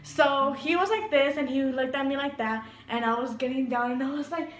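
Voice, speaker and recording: High-voiced giggly young woman. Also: moderate pace, slight background noise. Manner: giggly, animated storytelling with playful voices. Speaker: vlogger. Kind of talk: storytime vlog. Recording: echoey room.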